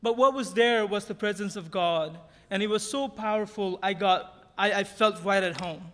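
A man's voice: high-pitched, normal speed. A man, giving an earnest personal testimony at a church pulpit with light humor. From Nepal. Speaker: guest speaker at church. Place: large church sanctuary stage. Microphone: podium microphone. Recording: reverberant sanctuary sound.

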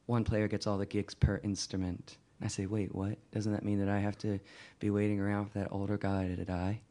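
A man's voice: fairly low pitch, completely flat delivery, fairly quick, warm tone. A man from Virginia, a singer-songwriter talking candidly and casually in a rambling, hesitant, wry way. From Virginia, United States.

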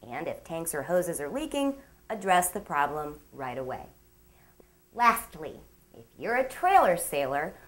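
A woman hosts a boating show in an upbeat, scripted read. Her voice sits on the low side, and her pitch swings widely. She slips in playful asides between segments. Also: a bit bright. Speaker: show host. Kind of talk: scripted magazine-show narration.

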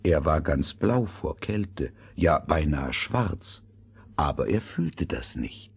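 A man's voice: a bass voice, expressive, slow, gravelly, husky. A man narrating a scripted fairy tale as the storyteller of an audio drama.

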